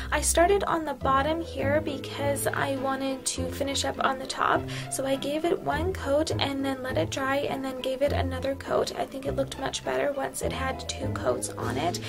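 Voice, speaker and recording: High-pitched woman hosting a craft video. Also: vocal fry. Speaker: DIY craft video host. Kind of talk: casual craft tutorial narration. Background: music.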